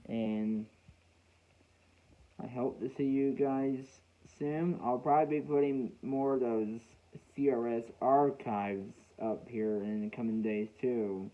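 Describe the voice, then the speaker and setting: A man talking casually and reminiscing to viewers as a hobbyist host, in a halting way with repeated phrases. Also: medium pitch, fairly even intonation. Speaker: weather radio hobbyist hosting a video. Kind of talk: casual, reminiscing vlog talk. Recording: dull, lo-fi home recording.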